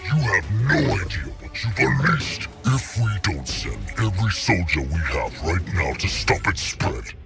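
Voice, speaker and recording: An actor playing a commanding, stern alien warlord in a video game, speaking with grave, scolding authority. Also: male voice, sing-song, measured pace, vocal fry, few pauses, full character voice. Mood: angry, stern. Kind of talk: dramatic video game voice acting. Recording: loud game music and battle rumble.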